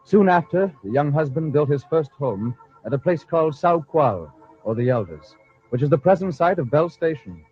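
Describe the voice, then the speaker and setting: Animated male old-radio storyteller. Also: on the high side, normal speed, faint music behind. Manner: dramatic, florid storytelling. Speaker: storyteller, narrator. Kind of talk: scripted old-time radio narration. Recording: dull, lo-fi old radio recording.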